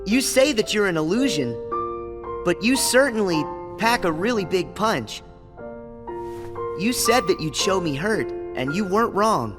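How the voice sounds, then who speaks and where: A man playing an earnest, stubborn, kind-hearted teenage boy hero in a video game cutscene, speaking sincerely and deliberately. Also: high-pitched, animated, big-room sound. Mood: gentle, reflective. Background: piano music.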